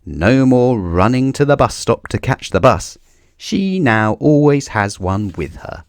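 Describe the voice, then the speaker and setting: A man reading a children's story aloud in an expressive narrating style. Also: medium pitch, measured pace, no echo, clean studio sound.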